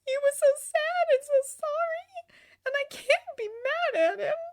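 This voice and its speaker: A woman's voice: extremely high pitch, moderate pace, slight background noise, voice pushed up. A woman voicing an emotional, melodramatic young woman from a storybook, heartbroken and indignant. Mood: tearful, whimpering.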